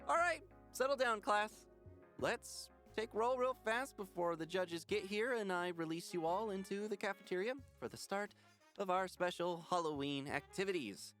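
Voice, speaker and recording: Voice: mid-range voice, normal speed, fairly bright tone, lightly acted. An actor voicing a weary, befuddled male schoolteacher in a visual novel, dry and hesitant. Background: faint music.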